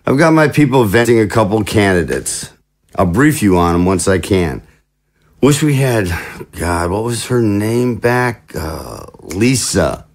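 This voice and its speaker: A man's voice: lowish voice, heavily acted. A man playing a jovial, scheming political chief of staff in an audio drama, with a glib, jocular, theatrical manner. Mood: casual, lecherous.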